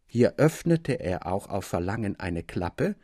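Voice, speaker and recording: Husky, thoughtful literary storyteller. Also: male voice, lowish voice, no room sound, studio quality. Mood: composed, intriguing. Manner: measured, formal, expressive. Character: thoughtful literary storyteller relishing eerie tales. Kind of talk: scripted literary narration.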